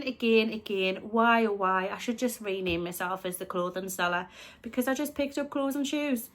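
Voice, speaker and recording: A British woman talks casually about her thrift finds, her voice sitting a little high for a woman. She is a bit snuffly from a chest infection and rambles, often stopping to correct herself. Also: fairly bright tone. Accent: British English. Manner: chatty, rambling, self-correcting. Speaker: reselling vlogger. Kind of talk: casual vlog chat.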